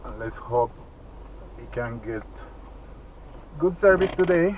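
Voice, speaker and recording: A man's voice: mid-range voice, expressive. A man whose first language is Spanish, casually narrating a vlog while driving, rambling along with fillers. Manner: slow, rambling running commentary with fillers. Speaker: vlogger. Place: inside a moving car. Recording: distant lo-fi mic with loud road noise.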